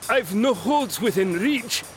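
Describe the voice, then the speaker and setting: An actor playing a hearty, dogged climber in a fantasy audio drama, speaking deliberately and with effort. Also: male voice, sits high, animated. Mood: straining. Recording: loud music behind.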